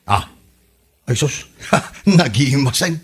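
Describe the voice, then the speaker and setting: A man's voice: medium pitch, animated, slow, slightly rough, full character voice. A man playing a teasing, grumbling old village husband in an Ilocano comedy drama podcast, with an Ilocano accent. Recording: music behind the voice.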